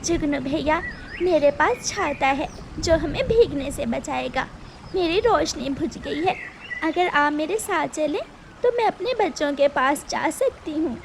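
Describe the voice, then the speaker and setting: A woman voicing an anxious, gentle mother bird in a cartoon, polite and pleading. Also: sits high, animated, a little slow, gravelly, noisy background, pitched above the performer's own voice, heavily acted.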